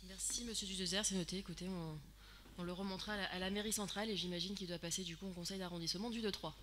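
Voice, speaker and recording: A woman chairing a municipal council, briskly thanking speakers and calling the votes. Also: fairly low pitch, steady tone, background noise. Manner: brisk, routine, procedural. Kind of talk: chairing a vote, formal procedure.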